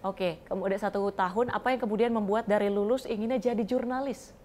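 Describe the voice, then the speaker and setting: Woman interviewer, middle pitch, lots of mhm and oke, keeps it moving. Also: fairly even intonation, brisk, warm tone, slight background noise. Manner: attentive, frequent short backchannels. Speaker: interview host. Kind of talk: interview questions.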